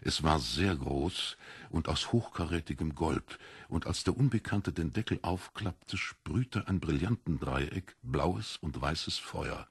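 A man's voice: extremely low pitch, expressive, slow pace, husky, no room sound. A man narrating a literary audiobook from a script.

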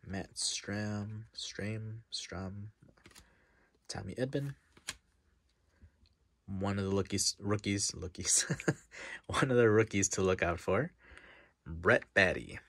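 Stuffy, gravelly low-voiced man. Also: no echo. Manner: reads off names in a relaxed, chatty way, dropping to a near-whisper at times. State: stuffy nose. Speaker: card-opening vlogger. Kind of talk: casual commentary while opening card packs. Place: desk in front of a wall of card boxes.

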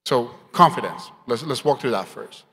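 A man's voice: medium pitch, lively intonation, moderate pace, bright, forceful tone, a little hiss or hum. A middle-aged man from Colombia, a native Spanish speaker, giving emphatic, motivational advice as a panelist into a handheld microphone. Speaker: panelist, game industry professional. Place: conference stage. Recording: hall reverb from stage sound.

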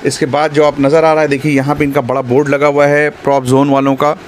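Man in his thirties, fairly high voice, salesy tour narration, faint traffic behind. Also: level tone, fast talker. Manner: promotional, repetitive pointing-out. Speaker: property video host. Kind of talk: walking real estate tour narration. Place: outdoors by roads and at an office.

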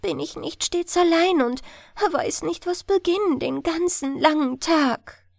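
A woman's voice: very high-pitched, measured pace, gravelly, no echo, studio quality, pitched above the performer's own voice, exaggerated voice. A woman voicing a lonely, sorrowful water nixie from a fairy tale, plaintive and lamenting. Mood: tearful lament.

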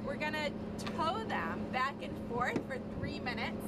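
A woman with a rather high voice teaches a class aboard a boat, patiently explaining and quizzing the students. She sounds distant from the camera, half buried under the loud rumble of engine and water. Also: animated, a little slow. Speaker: marine science educator. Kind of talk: teaching students on a field trip. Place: on a boat, deck and cabin. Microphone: handheld camera some distance off.

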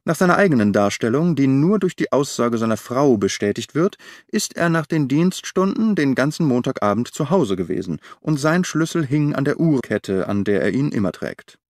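A man reading the part of a well-informed government official giving a measured briefing in an audiobook. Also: medium pitch, sing-song, darkish tone, dry recording, studio quality, lightly acted.

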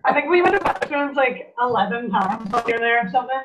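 A woman's voice: a little high, animated, quick pace. A young woman with a Canadian accent chatting casually as a guest on a video call. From Canada, Labrador. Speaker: band musician, interview guest. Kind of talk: casual chat. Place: small home room, video call. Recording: dull, noisy, clicky video-call audio.

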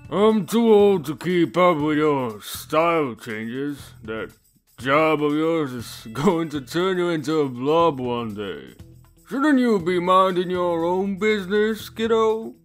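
A man voicing a gruff, teasing veteran biker in his fifties, blunt and familiar in a character demo. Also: a little high, lively intonation, slow, soft background music.

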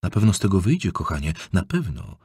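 A man voicing a worried mother who gently consoles her teenage daughter in an audiobook. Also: low-pitched, wildly swooping pitch, fast, husky, no echo, clean studio sound, heavily acted. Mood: consoling.

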